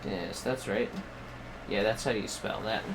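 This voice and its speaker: A man hosting a game livestream, chatting casually and haltingly as he muses to himself. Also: fairly low pitch, noisy background. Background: music.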